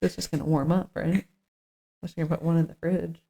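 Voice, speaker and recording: A woman's voice: deep voice, fairly even intonation, fast talker, vocal fry, noisy background. A woman co-hosting a podcast in an informal chat, partly reading from notes and thinking aloud. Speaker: podcast co-host.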